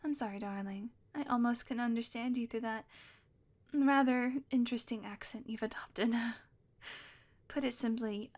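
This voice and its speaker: A woman voicing a sweet, possessive witch in a scripted roleplay, talking softly and teasingly and often dropping to a whisper. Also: a little high. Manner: soft, doting, teasing, drifts into whispers. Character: sweet possessive female witch, playfully menacing. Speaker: audio roleplay performer.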